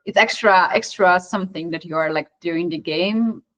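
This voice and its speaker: A woman's voice: lowish voice, normal speed, fairly bright tone. A young woman with a Georgian accent commentating on chess, hesitant with many fillers and frequent laughs.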